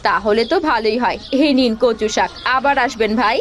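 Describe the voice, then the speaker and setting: A woman's voice: fairly high pitch, fairly quick, a little hiss or hum, natural voice. A woman voicing a caring, hardworking village mother who sells greens in a fairy tale cartoon. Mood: earnest.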